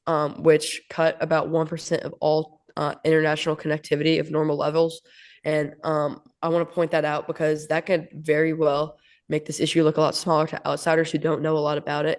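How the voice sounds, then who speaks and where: A teenage boy co-hosting a student podcast, presenting hesitantly with frequent ums. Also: fairly high pitch.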